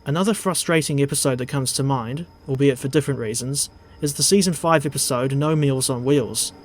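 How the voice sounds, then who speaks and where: Measured New Zealand man narrating. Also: mid-range voice. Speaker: video essay narrator. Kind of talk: scripted narration.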